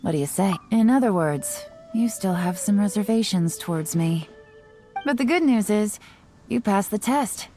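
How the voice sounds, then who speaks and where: An actress playing a sly, self-assured young woman intelligence agent in a video game, speaking in a coy, teasing, unhurried way. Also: mid-range voice, expressive, lightly acted. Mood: coy, playful. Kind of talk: scripted video game character voice lines. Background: soft music.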